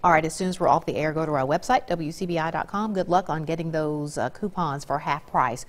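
A woman's voice: low-pitched, fairly quick. A middle-aged woman hosting a local TV cooking segment, upbeat and prompting her guest with eager interjections. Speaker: TV news host. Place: TV studio kitchen set.

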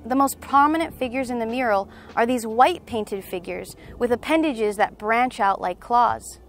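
A young woman presenting scripted documentary narration in a measured, explanatory manner. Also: on the high side, a little hiss or hum. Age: young adult.

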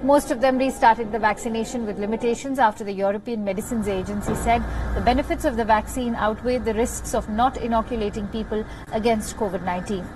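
A woman's voice: fairly high pitch, fairly quick, hardly any pauses, background noise. A woman presenter reading scripted news narration in a formal register.

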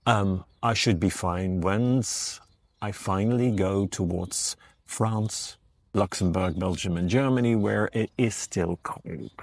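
A man's voice: low-pitched, a little mellow, no echo. A man in his fifties talking casually to the camera outdoors as a van life vlogger, in a soothing, reflective, chatty way. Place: outdoors by a parked van and a beach shelter.

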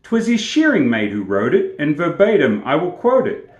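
A man's voice: fairly high pitch, wildly swooping pitch, moderate pace, lo-fi sound. An Australian man in his sixties hosting a storytelling show, reciting and chatting to camera. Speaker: host and storyteller. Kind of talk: poetry recitation and casual talk to camera. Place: small indoor room with plain walls, echoey. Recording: echoey indoor room.

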